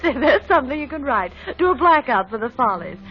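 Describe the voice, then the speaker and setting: An actress playing a selfish, money-hungry wife, fearful and defiant, with a sharp, argumentative delivery in an old-time radio drama. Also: female voice, high voice, big pitch swings, brisk, breathy edge, highs cut off, exaggerated voice. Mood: mocking. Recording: band-limited vintage radio recording with music.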